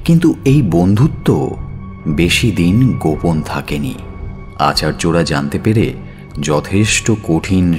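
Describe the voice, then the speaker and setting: A man narrating a dramatic audio story in a theatrical manner, with suspenseful pauses between phrases. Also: sits low, a little mellow. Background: background music.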